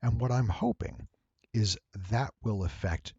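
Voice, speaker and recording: A man narrating a tutorial, explaining things step by step in a deliberate, emphatic way. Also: mid-range voice, vocal fry, a little mellow, husky, no echo, lo-fi sound. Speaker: voice actor giving an audio editing tutorial. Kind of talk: explanatory screen-recording narration.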